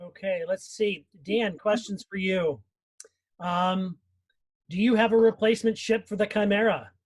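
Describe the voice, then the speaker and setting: Man, high pitch, host, casual live Q&A, reading out questions. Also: fairly even intonation, a little slow. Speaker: host putting questions to a cast.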